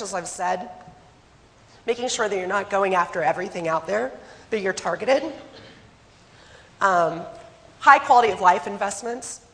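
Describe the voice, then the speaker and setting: A woman with a hoarse voice from a cold, giving a conference presentation and putting direct questions to her audience. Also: mid-range voice. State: hoarse, getting over a cold. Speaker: economic development consultant presenting. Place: conference hall. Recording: long hall reverb.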